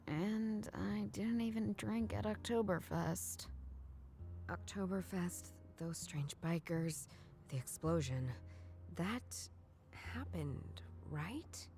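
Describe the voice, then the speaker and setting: A woman's voice: medium pitch, darkish tone. An actress playing an uneasy, hesitant, questioning woman in a noir mystery game. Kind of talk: dramatic video game voice acting.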